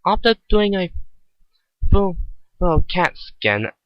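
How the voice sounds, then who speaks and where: A man's voice: sits high, sing-song, slow pace, dry recording, highs cut off, pitched above the performer's own voice, full character voice. A man voicing a confident doctor in a story reading, clinical and explanatory. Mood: assured. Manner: assured, explanatory.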